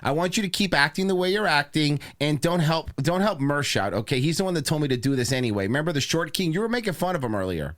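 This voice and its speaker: A man's voice: a little high, lively intonation, quick pace, hardly any pauses, fairly bright tone. A man co-hosting a podcast in casual banter, teasing and egging on a chatbot. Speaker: podcast co-host.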